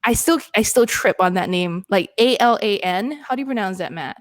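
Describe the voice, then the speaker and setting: A woman's voice: medium pitch, brisk, no echo, studio quality. A woman hosting a podcast, chatting casually and laughing often as she spells words out. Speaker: podcast host.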